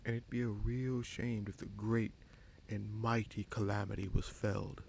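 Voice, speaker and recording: A man playing a scheming sorcerer prince in a fantasy audio roleplay, smug and coolly menacing, with a gravelly voice and slow, deliberate, taunting delivery. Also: lowish voice, little pitch movement, darkish tone, a little hiss or hum. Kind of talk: fantasy audio roleplay, dramatic monologue addressed to listener.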